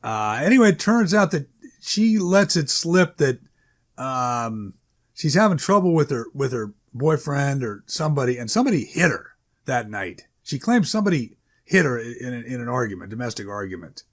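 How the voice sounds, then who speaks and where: A man with a mid-range voice talks off the cuff as an author introducing his own work. His delivery is casual and halting, rambling along with frequent hedges and self-corrections. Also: medium pitch, very animated. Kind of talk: informal unscripted introduction, casual talk.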